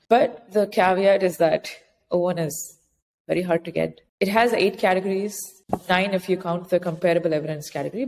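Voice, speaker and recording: Woman guest explaining stuff in an interview, lowish voice, really reverby room w some noise. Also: a little mellow. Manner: explanatory, practical advice-giving. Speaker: interview guest, author advising immigrant founders. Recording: echoey hall-like reverb, clear background noise.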